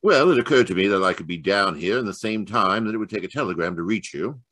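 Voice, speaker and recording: A man playing a genial, shrewd gentleman detective in a radio mystery, with courtly, wordy, slightly hesitant phrasing. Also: lowish voice, lively intonation, fast talker, dry recording. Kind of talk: old-time radio mystery acting.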